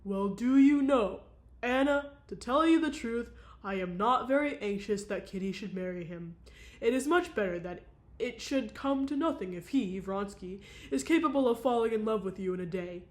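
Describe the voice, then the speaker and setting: A woman reading aloud, voicing a calm married woman with a deliberately low, measured voice. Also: close to an everyday voice. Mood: gentle. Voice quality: low, measured and soft-spoken.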